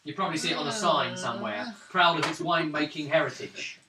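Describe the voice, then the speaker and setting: A man running a tabletop roleplaying game as game master, describing scenes in a descriptive, slightly halting way. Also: on the high side, over music. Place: room around a gaming table. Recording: echoey room, mic far off.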